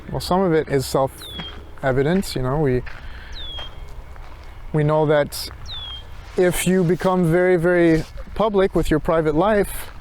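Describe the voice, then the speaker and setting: Reflective man, slightly high voice, thinking aloud. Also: darkish tone, slight background noise. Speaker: philosophy tutor vlogger. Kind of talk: casual rambling monologue while walking. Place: outdoors, walking.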